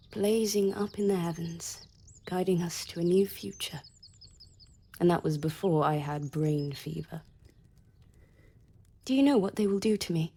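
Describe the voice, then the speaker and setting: An actress in a radio drama playing a bitter, wounded young society woman, sardonic and intense, at times dropping into hushed tones. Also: fairly low pitch, husky. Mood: anguished.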